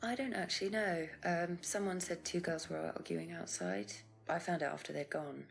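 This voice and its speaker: A woman's voice: fairly low pitch, normal speed, creaky. An actress playing a guarded, curt pub landlady in a filmed mystery game. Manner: guarded, evasive.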